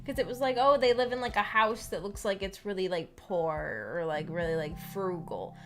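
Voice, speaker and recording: A woman with an American accent chatting casually to viewers as a book club streamer, in a giggly, halting, tentative manner. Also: medium pitch, fairly bright tone. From United States. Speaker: streamer hosting a visual novel book club. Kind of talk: casual chat, discussing a story with viewers. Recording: voice over background music. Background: background music.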